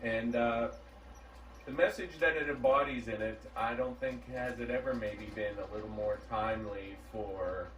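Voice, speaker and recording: A man in his forties speaking as a host, giving a holiday message and reading a storybook aloud. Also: medium pitch. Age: 40s. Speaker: host of a model railroad channel. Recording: roomy, distant mic, faint background noise.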